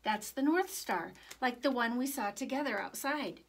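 A woman voicing a gentle, reassuring father who soothes and explains in a read-aloud storybook. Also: on the high side, lively intonation, normal speed. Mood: tender. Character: gentle, reassuring young father, a soldier leaving home.

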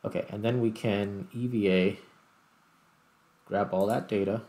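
A man's voice: lowish voice, steady tone, measured pace, dark tone. A man giving casual gameplay commentary, thinking aloud as he plays. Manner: thinks aloud while playing. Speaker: gaming video host.

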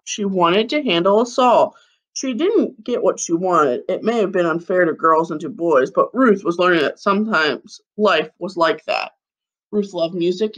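A woman's voice: lowish voice, poor audio. Woman reading a picture book aloud. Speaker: elementary school teacher reading aloud. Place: living room at home, seated on a couch. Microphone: webcam or laptop mic at about arm's length.

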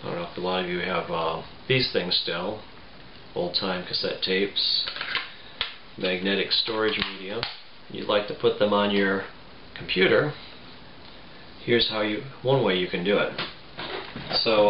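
A man in his forties giving a casual step-by-step tutorial in a halting, plain-spoken way. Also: low voice. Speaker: tutorial presenter. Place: small home room, indoors. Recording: roomy, muffled, faint background noise.

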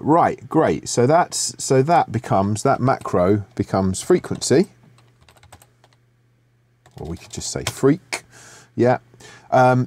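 A middle-aged man teaching music production software in a screen-share tutorial, relaxed and thinking aloud with fillers and restarts, recorded in a home studio. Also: lowish voice, no echo.

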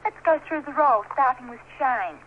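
A woman teaching a school lesson over the radio in a brisk schoolmistress manner. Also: sits high. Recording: noisy, dull radio-link recording.

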